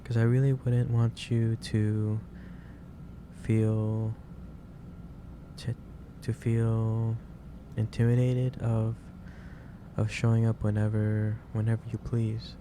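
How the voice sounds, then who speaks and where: The man-acted kindly manager in an ASMR roleplay who stops at your desk after hours, lowish voice barely moving, hesitantly fretting over you through steady background noise. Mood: caring, reassuring. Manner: hesitant, halting, repeats phrases. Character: gentle, caring male office boss, worried and lecturing. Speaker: roleplay performer. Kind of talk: ASMR roleplay, soft-spoken monologue.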